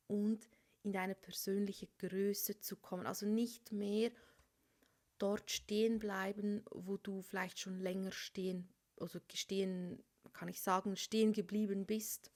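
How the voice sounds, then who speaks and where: A woman giving a tarot reading for a star sign, speaking softly and often dropping into a whisper over the cards. She has a cold. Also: mid-range voice. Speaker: tarot reader.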